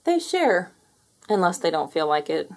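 A woman's voice: lowish voice, sing-song, unhurried. A woman reading a children's picture book aloud as a storyteller.

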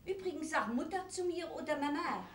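A woman's voice: high-pitched, lively intonation, a little fast. A grand lady from Paris. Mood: fond. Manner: affected and grand, sprinkling in French phrases. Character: posh, theatrical older woman from Paris, vain and warm. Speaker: Tante Mary, elegant aunt from Paris. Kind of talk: 1970s televised stage comedy. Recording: roomy TV stage recording from a distance, faint hiss.

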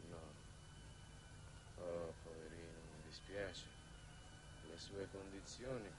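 An actor playing a weak, drowsy, ailing patient who is languidly solicitous, in a 1960s Italian television drama. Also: male voice, low voice, fairly even intonation, slow. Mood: languid concern. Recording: noisy old 1960s TV soundtrack.

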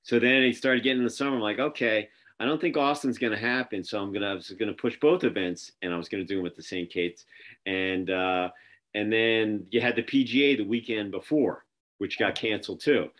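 Middle-aged man on a zoom call, lowish voice, lots of uhs and restarts, chatty host. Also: a little fast, fairly bright tone. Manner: rambling storyteller, many fillers and restarts. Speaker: interview host. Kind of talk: casual chat over video call. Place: office with printed backdrop, indoors. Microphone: video call webcam.

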